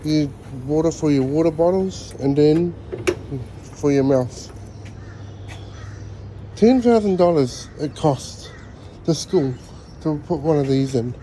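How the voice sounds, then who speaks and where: Slow, relaxed New man outdoors. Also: fairly high pitch, a little hiss or hum, low-quality recording. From New Zealand. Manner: relaxed, rambling, with hesitant fillers. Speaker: vlogger. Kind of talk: casual walking tour vlog. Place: outdoors at a school grounds.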